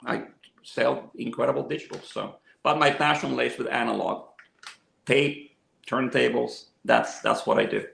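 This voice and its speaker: A man's voice: mid-range voice, expressive, unhurried, vocal fry, fairly bright tone. A man in his fifties from Venezuela, chatting enthusiastically as a guest about his love of music and gear. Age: 50s. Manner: enthusiastic, talkative storyteller. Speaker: interview guest, audio equipment seller. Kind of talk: casual interview chat. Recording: echoey room.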